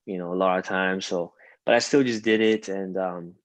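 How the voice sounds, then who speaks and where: A young man from Iran talks loosely in an interview, his coloring the English he learned after Farsi. His voice sits fairly low and rambles through fillers, restarts and "you know"s. The sound is close and dry, with no room around it. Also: steady tone, normal speed, no echo, low-quality recording. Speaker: rapper guest. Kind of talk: casual interview chat.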